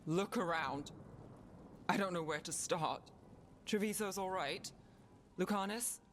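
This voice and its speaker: A woman's voice: fairly low pitch, a little slow, slight background noise, natural voice. A woman playing an anguished, dutiful defender of a fantasy city in a video game, terse and serious. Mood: weary, tense. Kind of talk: video game dialogue acting.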